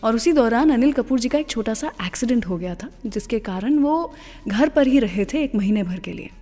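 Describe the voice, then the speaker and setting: A woman's voice: fairly high pitch, lively intonation, normal speed, warm tone. An Indian woman presenting a radio show, telling stories in a conversational way and switching between Hindi and English phrases. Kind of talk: scripted radio presenting with film trivia between songs. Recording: long reverb, music underneath.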